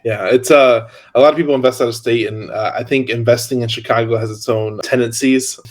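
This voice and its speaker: A man from Indiana hosting a podcast, chatty and relaxed, laughing often between remarks. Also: medium pitch, a little fast. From Hammond, Indiana. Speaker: podcast host. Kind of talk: casual podcast chat.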